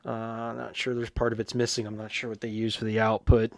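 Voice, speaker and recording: A man giving casual, hands-on repair narration as a repairer, thinking aloud in an informal, halting way with muttered asides. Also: lowish voice, completely flat delivery, no room sound. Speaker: vintage radio repairer presenting his own video.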